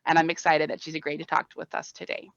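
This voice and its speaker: Bright voice, dull dry lo-fi recording. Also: medium pitch, flat delivery, quick pace. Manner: warm, admiring. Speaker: friend introducing the guest. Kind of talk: prepared tribute speech.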